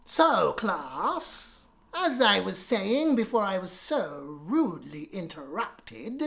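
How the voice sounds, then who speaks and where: A woman's voice: mid-range voice, sing-song, slow, vocal fry, fairly bright tone, full character voice. A woman voicing a strict, bossy schoolteacher who scolds and drones in a children's storybook reading. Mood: haughty, scolding. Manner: haughty, scolding, emphatic.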